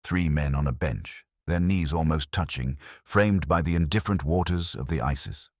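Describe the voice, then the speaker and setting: Very deep, husky male narrator. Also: dry recording, clean studio sound. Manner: measured, literary, brooding. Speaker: narrator of a detective story. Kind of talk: first-person scripted mystery narration.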